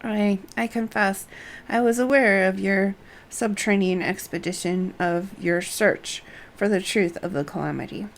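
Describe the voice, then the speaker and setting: A woman's voice: mid-range voice, fairly even intonation, unhurried. A woman game streamer commenting live and reading dialogue aloud.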